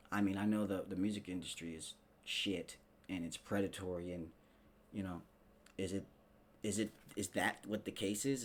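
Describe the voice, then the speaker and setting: A man hosting a casual commentary show, talking in a slangy, sarcastic, profane way as he rants and mimics people. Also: lowish voice. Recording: clearly noisy background.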